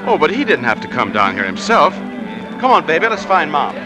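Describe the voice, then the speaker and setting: A man's voice: on the high side, a little fast, over loud music. An actor playing an eager, generous young man in a 1940s radio drama. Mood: happy, tender. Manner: eager, excited. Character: exuberant, generous young man, devoted son and sweetheart. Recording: old radio broadcast, street crowd behind.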